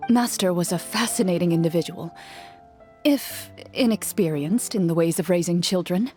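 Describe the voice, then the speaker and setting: A woman voicing a calm, reserved young duelist in an anime-style game, speaking formally and matter-of-factly. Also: on the low side, moderate pace, faint music behind, close to an everyday voice. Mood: reflective.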